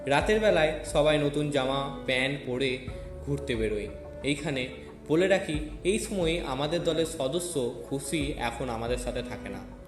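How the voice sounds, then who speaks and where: A man's voice: mid-range voice, expressive, normal speed. A man narrating a scripted first-person audio story. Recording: echoey with long reverb. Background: faint music.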